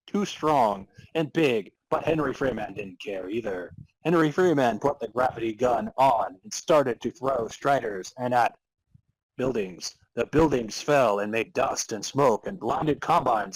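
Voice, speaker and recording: Man reading aloud with a slightly husky voice. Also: mid-range voice, rough recording. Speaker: podcast co-host. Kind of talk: dramatic reading of fan fiction and casual banter.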